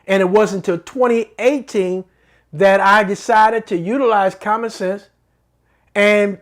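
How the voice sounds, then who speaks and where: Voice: medium pitch, steady tone, measured pace. An earnest, middle-aged host of a faith-based talk show delivers a teaching monologue. The delivery is preacherly, and key phrases are repeated for emphasis.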